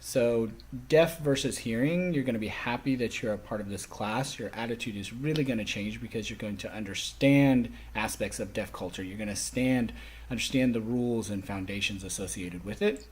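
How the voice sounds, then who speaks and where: A man speaking a lecture to a class, explaining things in halting, phrase-by-phrase delivery. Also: lowish voice, husky.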